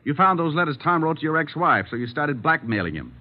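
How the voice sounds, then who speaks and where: An actor playing a wry, flippant but tough private detective in an old-time radio drama. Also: male voice, on the high side, big pitch swings, fast talker. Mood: urgent, accusing. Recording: muffled old radio broadcast recording.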